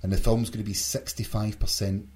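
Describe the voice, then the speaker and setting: A man's voice: fairly low pitch, flat delivery, fairly quick, darkish tone, slightly rough. A man hosting a casual, jokey podcast discussion among friends. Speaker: podcast host.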